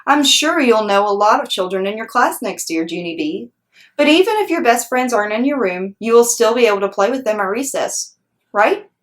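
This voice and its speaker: A woman voicing a gentle, reassuring mother who soothes and encourages her young daughter in a children's storybook. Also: on the high side, lively intonation, fairly quick, close to an everyday voice. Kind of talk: children's storybook read-aloud.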